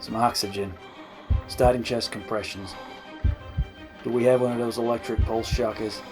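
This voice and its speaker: Low, flat-pitched harried medic played by a man. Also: lightly acted. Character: harried, exasperated male emergency medic. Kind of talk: 1940s radio play parody. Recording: music under the voice.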